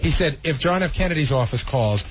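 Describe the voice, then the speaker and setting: A man's voice: on the high side, expressive, fast talker, soft background music. A man working as a radio producer, critical and complaining in unscripted on-air discussion. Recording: lo-fi phone-band radio recording, faint noise.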